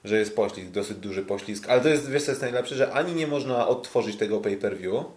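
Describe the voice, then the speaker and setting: Low-pitched man, halting podcast talk, roomy sound. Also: expressive, darkish tone. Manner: hesitant, halting delivery. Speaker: podcast host. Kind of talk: casual sports podcast discussion.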